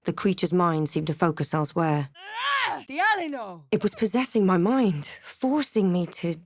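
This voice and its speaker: A woman playing a wry, guarded adventurer heroine in a fantasy game, with a measured, dramatic delivery. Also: mid-range voice, big pitch swings, no room sound. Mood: forceful incantation. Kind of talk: scripted fantasy game voice lines and narration.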